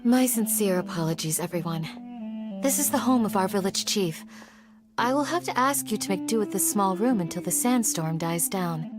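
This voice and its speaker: A woman playing a gracious but firm young village guardian in a video game, speaking politely and formally in a measured way. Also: mid-range voice, lively intonation, music in the background, lightly acted. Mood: apologetic, welcoming.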